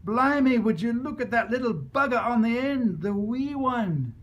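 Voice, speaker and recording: A man voicing an astonished father at a race, loud and exclamatory. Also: extremely high pitch, moderate pace, muffled recording, voice pushed up, full character voice. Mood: astonished, excited. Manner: excited, exclamatory.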